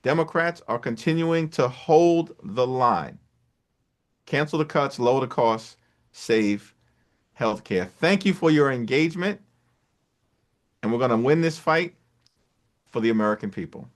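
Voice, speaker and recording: A man's voice: medium pitch, lively intonation, a little slow, long pauses. Man giving a punchy political speech. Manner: punchy, listing slogans with strong drops. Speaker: congressional leader.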